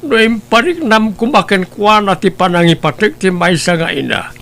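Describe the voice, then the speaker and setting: A man's voice: high voice, moderate pace, creaky, fairly bright tone. A man playing a stern old grandfather. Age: older. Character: elderly grandfather, gentle and scolding.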